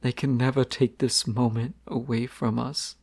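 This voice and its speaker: A man voicing a shy young crown prince in a romantic audio roleplay, speaking softly and intimately, often near a whisper. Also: mid-range voice, flat delivery, moderate pace, slightly rough. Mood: hushed intimate.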